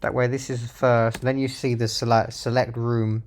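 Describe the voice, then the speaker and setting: A man's voice: mid-range voice, little pitch movement, moderate pace, a little mellow. Man casually thinking aloud as he codes. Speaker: software developer presenting own project. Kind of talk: casual live-coding narration, thinking aloud.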